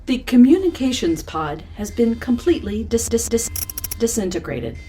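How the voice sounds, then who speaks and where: A woman voicing a female spaceship computer in an audio drama, speaking in a clipped, formal, deadpan way. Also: on the high side, normal speed, a little hiss or hum, poor audio. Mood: clipped, matter-of-fact. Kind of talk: scripted audio drama acting.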